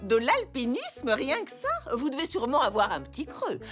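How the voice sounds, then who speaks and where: A woman's voice: high-pitched, huge pitch swings, moderate pace, a bit bright, faint music behind, full character voice. A woman voicing a kindly, bemused old zebra grandmother in a preschool cartoon, motherly and fussing. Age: older figure.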